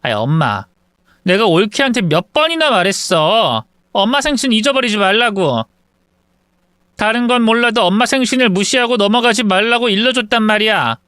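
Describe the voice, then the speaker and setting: A man voicing a grown daughter who scolds her brother in a quick, indignant way. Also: high voice, animated, sharp tone, clean tone, no echo, studio quality, pitched above the performer's own voice.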